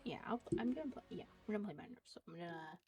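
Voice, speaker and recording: A woman's voice: fairly low pitch, animated, rapid-fire, mellow. A woman game streamer chatting casually and hesitantly, trailing off between thoughts. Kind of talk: casual livestream chat while gaming. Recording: dull lo-fi stream audio, music behind.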